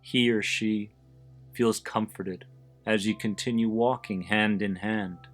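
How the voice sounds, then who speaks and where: A man leading a guided visualization in a deliberate, soothing manner, pausing between short phrases. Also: lowish voice, fairly even intonation. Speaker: host of an anxiety support podcast, guiding listeners. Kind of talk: guided visualization, meditation narration. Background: background music.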